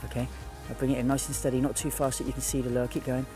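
A man guiding a beginner through fishing by a lake, giving quick, repeated instructions. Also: mid-range voice, little pitch movement, over music. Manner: urgent, repetitive instructions. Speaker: fishing guide. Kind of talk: on-the-spot coaching. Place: outdoors by a lake.

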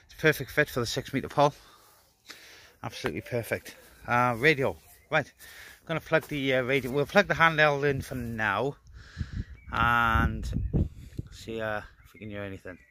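A man's voice: medium pitch, moderate pace, sharp tone. A man giving casual, hands-on vlog commentary outdoors in a garden, speaking informally and hesitantly as he busies himself with gear. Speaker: amateur CB radio hobbyist filming a vlog. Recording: noisy outdoor phone-camera recording.

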